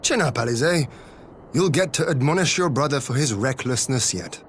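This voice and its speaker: A resolute young lord, acted by a man in a video game scene, with a mid-pitched voice. He speaks in a stately, measured way over faint ambient noise. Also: animated, lightly acted. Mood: resolute, grave. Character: noble young lord, composed, formal and resolute. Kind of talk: video game cutscene voice acting.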